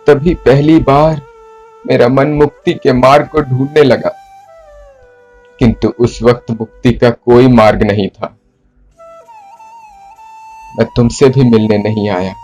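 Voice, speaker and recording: A man performing a theatrical monologue as a broken, remorseful poet confessing to his beloved in formal, literary language, deliberately and with dramatic pauses. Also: mid-range voice, darkish tone. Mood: anguished, fearful. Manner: brooding, deliberate, with dramatic pauses and repeated phrases. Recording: flute music under the voice. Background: flute music.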